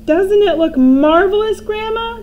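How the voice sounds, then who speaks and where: A woman voicing an excited young boy who shouts eagerly. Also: very high voice, unhurried, dull audio, a little hiss or hum, voice pushed up.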